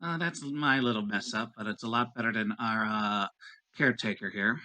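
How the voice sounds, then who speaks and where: A man voicing a fussy male ship's doctor who makes quick, chiding remarks. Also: fairly low pitch, bright, forceful tone.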